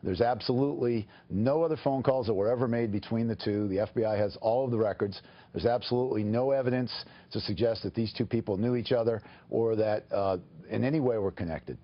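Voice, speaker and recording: A man with a fairly low voice gives formal, measured explanations as a lawyer on a TV news interview. He speaks haltingly, and the sound is slightly dull. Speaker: attorney, interview guest.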